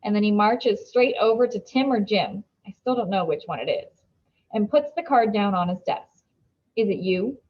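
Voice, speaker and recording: A woman reading a children's novel aloud as an expressive storybook narrator. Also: medium pitch, moderate pace, lo-fi sound.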